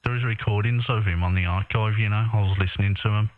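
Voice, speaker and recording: A man's voice: lowish voice, fairly even intonation, quick pace. A British man chatting casually in a matey, colloquial way as an amateur radio operator. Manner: matey, colloquial, tag questions. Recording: echoey, narrow-band ham radio transmission.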